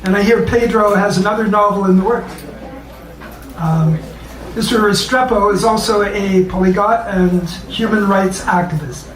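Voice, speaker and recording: A man with a high voice gives a spoken introduction as host of a live event. He is heard from a distance, with strong room echo around his words. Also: moderate pace. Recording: echoey room, distant microphone.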